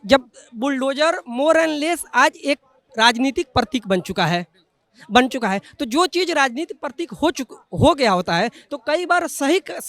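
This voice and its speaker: A man's voice: very high-pitched, fairly bright tone, dry recording. A young man giving his opinion in a street interview, explaining his points and mixing in English words. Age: young adult. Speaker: member of the public being interviewed.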